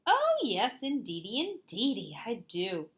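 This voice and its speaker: A woman's voice: mid-range voice, huge pitch swings, measured pace, fairly bright tone. A woman voicing an eager, quaint, helpful beaver in a children's storybook, chirpy and agreeable.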